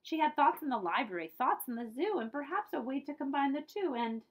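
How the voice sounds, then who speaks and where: A woman's voice: high voice, animated, a little fast. A woman reading a children's picture book aloud as a librarian, with dramatic storytelling emphasis and friendly asides.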